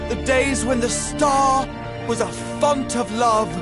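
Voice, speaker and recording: A woman's voice: a little high, steady tone, slow pace, over loud music. A woman playing a reverent worshipper in a fantasy game, pleading with archaic phrasing for a lost age of bliss. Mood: pleading, yearning. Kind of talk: dramatic fantasy game acting.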